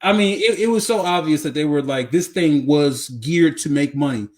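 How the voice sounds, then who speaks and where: A man co-hosting a podcast in casual movie chat, animated and emphatic, often repeating himself for effect. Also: on the high side, normal speed. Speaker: podcast co-host.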